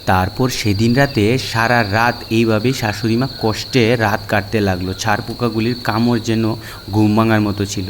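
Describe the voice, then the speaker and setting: Low-voiced man narrating a folk tale. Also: steady tone, normal speed, background noise. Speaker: storyteller. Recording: music behind the narration.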